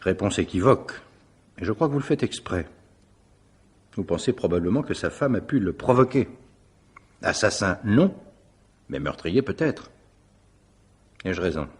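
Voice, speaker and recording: A man's voice: low voice, big pitch swings, unhurried, long pauses, husky, heavily acted. A man acting a shrewd, courteous investigator in a French radio mystery drama, politely probing and dryly insistent. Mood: pointed.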